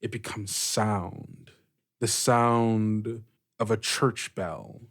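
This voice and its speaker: Slow-paced man narrating a tabletop game. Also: fairly low pitch, fairly even intonation, background noise. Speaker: game master and player in an actual-play podcast.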